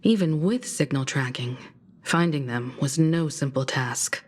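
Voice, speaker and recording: An actress playing a mature, courteous crew leader in a video game, speaking calmly and articulately. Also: female voice, a bass voice, a little slow. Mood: composed. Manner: calm, articulate.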